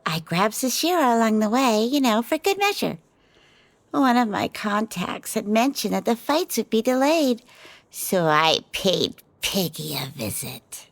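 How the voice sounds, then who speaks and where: A woman's voice: a little high, big pitch swings, unhurried, no echo. A woman voicing a wild, cocky female fighter who talks in a playful, taunting way. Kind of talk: ASMR audio drama roleplay. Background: background music.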